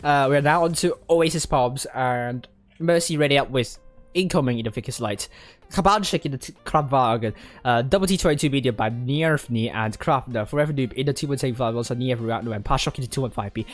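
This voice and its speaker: An esports caster calling a live match, hoarse and losing their voice, getting excited and shouting during fights. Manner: excitable, rising to high exclamations during fights; rapid name-listing with frequent fillers and restarts. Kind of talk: live play-by-play commentary.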